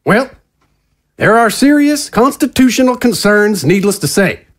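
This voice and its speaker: Slick, high-pitched male politician. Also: sing-song, unhurried. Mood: brisk, confident. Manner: folksy, smooth, talkative insider. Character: slick, glad-handing male conservative politician. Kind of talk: audio drama dialogue.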